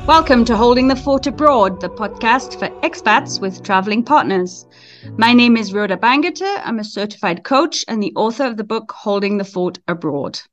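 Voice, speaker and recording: A woman's voice: a little high, animated, normal speed, soft background music. A woman from Switzerland presenting and interviewing as a podcast host, in a slightly lo-fi recording.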